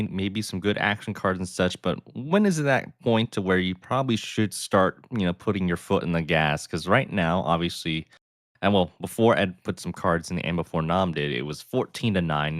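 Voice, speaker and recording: A male co-commentator with a very low, smooth and soothing voice, recorded dry and close. He talks in a calm, thoughtful way, weighing the strategy before he speaks. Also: no echo. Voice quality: deep, smooth voice. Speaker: player and guest commentator. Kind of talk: casual game commentary.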